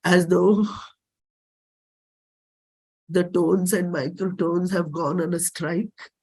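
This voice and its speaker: A performer with an Indian accent and a husky voice, giving a dramatic, deliberate reading of a personal play script. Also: mid-range voice, fairly even intonation, a little mellow, rough recording. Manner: measured, deliberate, theatrical phrasing.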